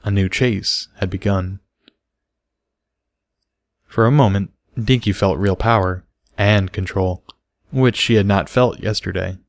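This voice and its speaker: Guy reading an audiobook story, lowish voice, really dry close sound. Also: unhurried, long pauses, dry recording. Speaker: audiobook reader. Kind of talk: scripted story narration.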